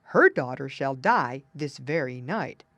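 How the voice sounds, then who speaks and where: A woman's voice: rumbling low, expressive, unhurried, a bit bright, dry recording, clean studio sound, pitched below the performer's own voice, full character voice. Woman voicing a stubborn little talking dog, chanting. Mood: defiant, chanting. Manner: barked, chanting rhymes. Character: small talking dog, stubborn male prophet in rhyme.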